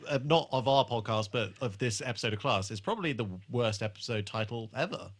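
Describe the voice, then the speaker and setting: A man's voice: mid-range voice, expressive, brisk. A man hosting a casual podcast review in an informal, sweary way. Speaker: podcast host. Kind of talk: casual chat reviewing a TV episode.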